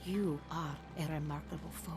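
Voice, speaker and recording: A woman's voice: low voice, steady tone, moderate pace, music in the background, lightly acted. A woman playing a cryptic fortune teller in a video game, speaking in portentous riddles. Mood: ominous. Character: cryptic, ominous female fortune teller who sees the future. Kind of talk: video game dialogue acting.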